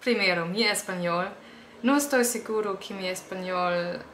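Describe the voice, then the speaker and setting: A young woman, a native German speaker, chatting casually to camera at home as a vlogger, speaking haltingly with restarts as she learns the language. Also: mid-range voice, a bit bright, roomy sound, a little hiss or hum, poor audio. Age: young adult. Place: small indoor room at home.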